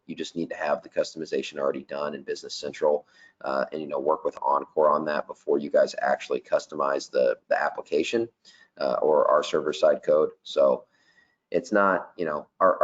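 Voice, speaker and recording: Man, very deep voice, casual webinar presenter, muffled recording. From not Danish. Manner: casual, many fillers like um and you know. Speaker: software vendor presenter. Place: office.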